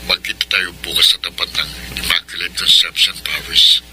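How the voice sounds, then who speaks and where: Low-voiced, pleading husband. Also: male voice, fairly even intonation, brisk, sharp tone. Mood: subdued, pleading. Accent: Filipino. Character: pleading estranged husband calling on the phone. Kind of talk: Filipino radio drama acting. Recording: noisy phone-call scene.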